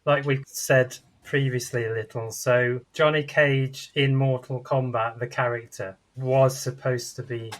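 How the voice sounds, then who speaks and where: A man with a medium-pitched voice chats on a podcast about pop culture. He explains things informally, in an informative, anecdotal way. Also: steady tone, unhurried, few pauses, low-quality recording. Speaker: podcast panellist. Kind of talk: casual explanatory chat about games and films.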